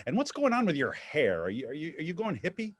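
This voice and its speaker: A man acting a sardonic old-school father's ghost in a stage play. He needles and scolds with a dry, mocking edge, pitch swinging widely, in a close, dry sound. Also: wildly swooping pitch, quick pace, no room sound. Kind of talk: theatrical staged play reading.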